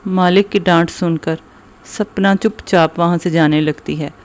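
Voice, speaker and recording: Man, story narrator, scripted kids' moral tale, slightly high pitch. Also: fairly even intonation, measured pace.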